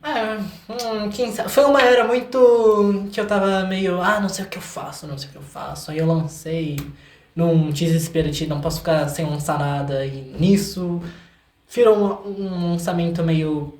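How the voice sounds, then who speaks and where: A young Brazilian man chatting casually as an interview guest, with a playful, expressive manner and words repeated in quick bursts. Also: sits high. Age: young adult. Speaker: podcast guest, singer-songwriter. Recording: echoey room sound.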